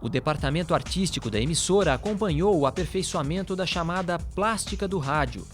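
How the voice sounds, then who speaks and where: A man's voice: fairly high pitch, lively intonation, fairly quick. A man with a Brazilian accent narrating a documentary from a script. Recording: music bed behind voice. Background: music.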